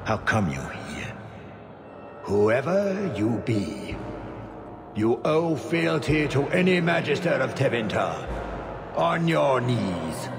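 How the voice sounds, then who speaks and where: Very slow, imperious ancient monster. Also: male voice, mid-range voice, very animated, creaky, over music, exaggerated voice. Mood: imperious, bewildered. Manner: archaic, commanding, slow and deliberate speech. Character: ancient monstrous magister, imperious, bewildered, newly woken. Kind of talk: fantasy video game acting.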